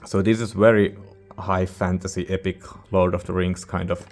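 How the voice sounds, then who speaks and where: Male reviewer, non-native English speaker: low-pitched, very even intonation, informal and halting, rambling casually. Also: faint music behind. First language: not English. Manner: hesitant, informal, searching for words. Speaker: music reviewer, channel host.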